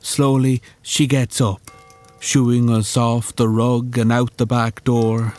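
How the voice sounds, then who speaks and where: A man narrating a first-person horror story in a deliberate, sombre storytelling manner. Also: mid-range voice, little pitch movement, darkish tone, soft background music.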